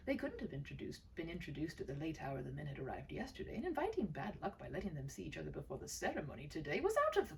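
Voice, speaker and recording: Woman doing expressive story narration, voice swoops around, kinda creaky, bit far from the mic w background noise. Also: on the low side. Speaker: storyteller reading own short story.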